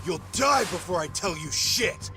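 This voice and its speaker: A man's voice: sits high, expressive, measured pace, music in the background. An actor playing a vicious, insolent teenage boy in a gang, threatening and foul-mouthed, in a video game. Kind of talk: video game dramatic acting.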